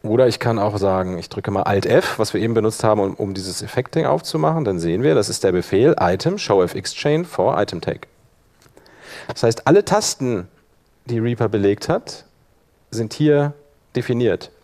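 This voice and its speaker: A man giving an informal live software tutorial as workshop instructor, thinking aloud while he demonstrates. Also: on the low side, lively intonation, moderate pace.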